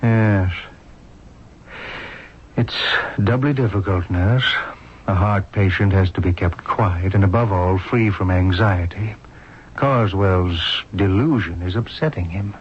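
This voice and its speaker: An actor playing a grave, troubled doctor in a 1970s radio mystery, speaking in a somber, deliberate way. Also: male voice, low-pitched, a little hiss or hum. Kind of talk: 1970s radio drama acting. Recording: dull lo-fi old radio recording.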